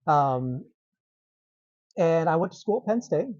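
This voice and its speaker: A man's voice: on the high side, expressive, a little slow, a little mellow, no room sound. A middle-aged man from Massachusetts, a meteorologist guest chatting in an easygoing way on a podcast. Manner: easygoing, laughs lightly, restarts phrases. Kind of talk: casual interview chat. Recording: slightly dull lo-fi sound.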